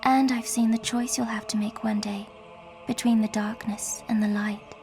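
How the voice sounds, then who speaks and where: A woman plays a mysterious blind prophetess from a fantasy game. Her voice is high, breathy and half-whispered, solemn and tender, with music clearly behind it. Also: steady tone, moderate pace, darkish tone, lightly acted. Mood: eerie, sorrowful. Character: eerie blind seeress, grave, gentle, foreboding elder sister. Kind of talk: video game cutscene dialogue.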